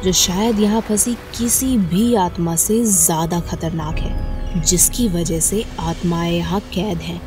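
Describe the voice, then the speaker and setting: A woman playing a menacing, commanding female ghost in a horror-comedy audio drama, speaking in slow threats. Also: lowish voice, over music, lightly acted. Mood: ominous.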